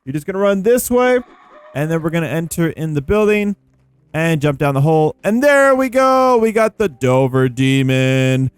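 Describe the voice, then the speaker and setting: A man's voice: a little high, sing-song, moderate pace, clear, resonant tone, no echo. A man hosting a gaming video with casual, rambling commentary, playful and full of informal slang. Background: background music.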